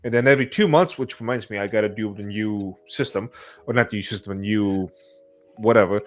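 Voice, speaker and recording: A man's voice: fairly low pitch, a little fast. A man hosting a casual podcast, talking informally with his co-hosts. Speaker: podcast host.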